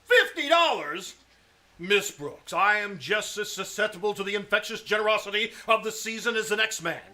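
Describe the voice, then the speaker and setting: A man's voice: high voice, expressive, moderate pace, bright, forceful tone, exaggerated voice. A man playing a blustering, grasping older school principal in an old-time radio comedy, with a pompous, theatrical delivery. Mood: indignant. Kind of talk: old-time radio comedy acting before a live audience. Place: small-town theater stage. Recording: live stage recording with noise.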